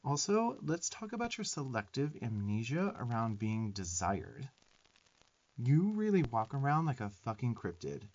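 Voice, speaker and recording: A sassy little demon twink, acted by a man for roleplay ASMR, with an average-pitched male voice. He scolds emphatically and profanely, bossy yet openly fond of the listener. The audio is slightly dull. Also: big pitch swings, a little slow, a little mellow. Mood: sassy insistence.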